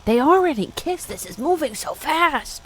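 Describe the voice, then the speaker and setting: A woman's voice: high voice, big pitch swings, moderate pace, slight background noise. A woman hosting a podcast, reading fan fiction aloud and breaking in with casual, gossipy, sassy asides. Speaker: podcast host.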